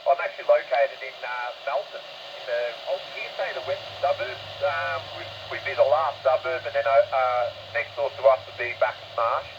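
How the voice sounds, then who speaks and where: Over a dull shortwave link with faint noise, an Australian man chats as a ham radio operator. He has a mid-pitched voice and rambles along in a matey, easygoing way. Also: steady tone, a little fast, creaky. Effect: received over shortwave radio. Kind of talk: casual ham radio chat.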